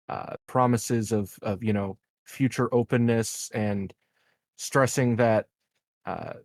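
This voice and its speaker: A man with a fairly low voice talks through his choices as a tabletop game player. He is slow and deliberate, pausing to find words. The recording is dry and close. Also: darkish tone, no echo. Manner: hesitant, deliberate, thinking aloud. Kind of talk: casual game talk.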